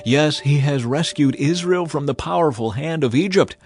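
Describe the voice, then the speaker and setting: A man's voice: on the high side, normal speed, a little mellow, close to an everyday voice. A man playing an earnest older father-in-law and priest who speaks emphatically in a dramatized scripture reading. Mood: joyful praise.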